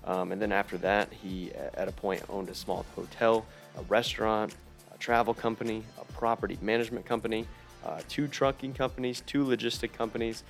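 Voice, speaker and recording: A man's voice: on the low side, steady tone, a little fast, creaky, soft background music. An American man hosting a podcast in an informal, conversational way. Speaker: podcast host. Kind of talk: conversational podcast intro and interview.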